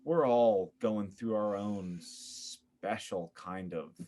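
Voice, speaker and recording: Male theater director as interview guest, lower voice, earnest, reflective, halting phrasing. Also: mellow.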